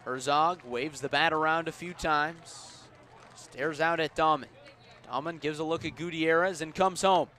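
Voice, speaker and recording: A man calling baseball live on the radio, measured between pitches and punchier on plays, as an announcer does. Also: fairly even intonation, bright, forceful tone. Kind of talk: live radio sports commentary. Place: outdoor baseball ballpark. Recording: outdoor ballpark ambient noise.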